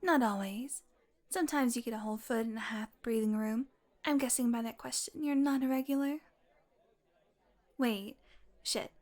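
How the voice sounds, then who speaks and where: A woman acting as a brash female vampire in an intimate audio roleplay. Her voice sits on the high side, and she talks with teasing sarcasm, swearing casually and flirting as she goes. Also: normal speed, pauses often, a little mellow, lightly acted. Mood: flirty, playful. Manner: sarcastic, playful, casually profane.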